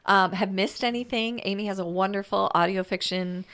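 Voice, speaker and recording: A woman's voice: medium pitch, fairly even intonation, moderate pace, a bit bright, clean tone. A woman co-hosting a podcast in casual, informal, bantering chat. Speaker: podcast co-host.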